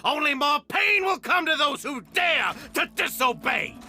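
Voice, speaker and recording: Game villain, tyrannical zealot, furious, menacing, very forceful tone, wildly varied intonation. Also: male voice, extremely high pitch, expressive, unhurried, creaky, faint music behind, heavily acted. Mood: raging. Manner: theatrical, menacing, swinging between hiss and shout. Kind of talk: video game voice acting.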